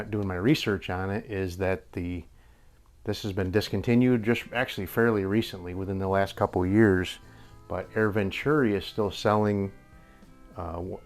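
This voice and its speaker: An older man with a slightly hoarse voice, rambling through a casual airgun review in a workshop and chuckling often. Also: low voice. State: a little hoarse. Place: indoor workshop with tool wall. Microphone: camera at a few feet.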